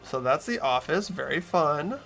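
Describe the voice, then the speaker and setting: A man hosting a game playthrough, switching between casual commentary and expressive reading of the narration. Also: fairly high pitch, unhurried, gravelly, faint music behind, poor audio.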